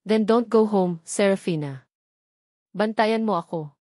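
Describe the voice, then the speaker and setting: A woman's voice: medium pitch, a little slow, warm tone, no room sound, studio quality, close to an everyday voice. Possessive young boyfriend, read by a female narrator: confident, playful, teasing drawl, romance audiobook. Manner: bored, playful drawl mixing English and Tagalog.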